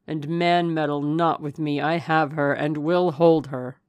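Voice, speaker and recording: A woman voicing a passionate, possessive gentleman lover in an audiobook, speaking in an urgent, imperious, declamatory way. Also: sits low, fairly even intonation, measured pace, no echo. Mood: wild, possessive. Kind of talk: dramatic audiobook character reading.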